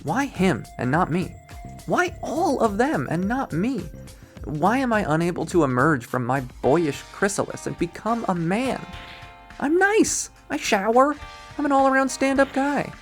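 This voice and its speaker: A man's voice: high-pitched, sing-song, a little slow, faint music behind, voice pushed up. A man voicing a self-pitying young male student in a visual novel, complaining with whiny melodrama. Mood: whiny despair.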